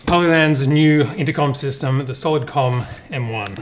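A man's voice: mid-range voice, normal speed, very noisy. A man in his thirties with an Australian accent, talking casually through a tech review as a vlogger. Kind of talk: casual unboxing and review narration.

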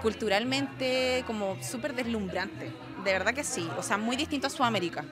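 A woman's voice: fairly high pitch, normal speed, a crowd in the background. A woman from Chile in her thirties with a Chilean Spanish accent, talking candidly into a handheld mic. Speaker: interviewee. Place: festival backdrop, outdoors. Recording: music in the background.

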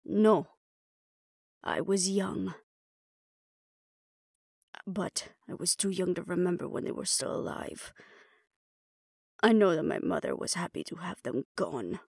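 A woman voicing a guarded, grudging, defiant old female vampire elder in an audio roleplay, speaking in a halting, solemn way. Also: lowish voice, creaky, darkish tone, husky, dry recording, studio quality, full character voice.

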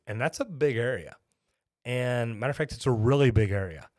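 A man's voice: mid-range voice, expressive, fairly quick, darkish tone, no room sound. Folksy man live on a studio mic. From Texas, USA. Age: 50s. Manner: folksy, rambling, chatty with restarts. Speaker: host, master plumber. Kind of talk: live-stream chat with viewers. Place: small home studio with shelves. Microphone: boom-arm studio microphone close up.

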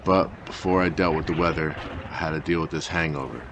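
A man narrating his travel vlog in a relaxed, chatty voice-over. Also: very deep voice, brisk, background noise. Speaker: vlogger.

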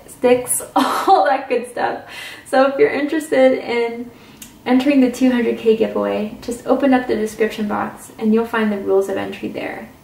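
A woman giving a casual tarot reading to camera at a table, chatty and intuitive, with drawn-out "ooh"s and "mm"s. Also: on the high side, vocal fry, darkish tone. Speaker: tarot reader. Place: indoors at a tarot table with crystals and candles. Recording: roomy, distant camera mic with faint hiss.

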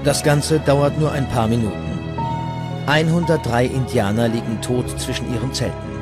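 A man's voice: mid-range voice, normal speed. A man reading scripted documentary narration as the narrator. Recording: music bed behind the voice. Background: music.

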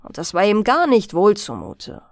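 A woman narrating a fairy tale as a bedtime audiobook, in an expressive, unhurried storytelling manner. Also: mid-range voice, no echo, studio quality.

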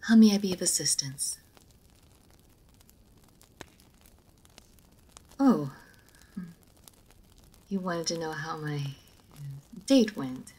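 A woman voicing a formal, guarded, melancholy aristocratic vampire in a roleplay audio drama, speaking in measured phrases to the listener. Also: deep voice, lively intonation, dark tone, husky, exaggerated voice, low-quality recording. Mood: reserved, resigned.

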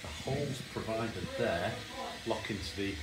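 A man giving a signal box tour, calmly explaining how the equipment works, recorded on a handheld phone camera. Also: on the low side, unhurried. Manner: plain, matter-of-fact, pointing things out. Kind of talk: technical explanation on a walkthrough. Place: inside a railway signal box. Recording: echoey room with loud background noise.

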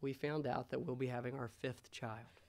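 An American man in his thirties preaching a sermon as a pastor in a measured, pausing way. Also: medium pitch, fairly even intonation, creaky. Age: 30s. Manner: measured, pausing, rhetorical questions. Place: church sanctuary, indoors. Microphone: gooseneck pulpit microphone.

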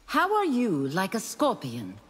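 An actress playing an earnest, righteous warrior woman in a video game, with a husky voice. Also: mid-range voice, huge pitch swings, measured pace, a bit bright, heavily acted. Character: earnest, righteous female warrior, founder of holy clan. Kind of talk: video game fight intro dialogue. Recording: long echoing reverb.

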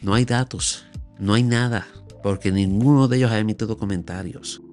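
An older Puerto Rican man delivers earnest, scolding commentary, his voice on the low side. He speaks close into a studio mic, gesturing as he talks. Faint music plays softly behind him. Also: fairly even intonation, moderate pace. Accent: Puerto Rican Spanish. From Puerto Rico. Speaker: YouTube commentator. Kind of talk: opinionated solo commentary. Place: small room at home, indoors. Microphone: large studio mic on a boom, close up.

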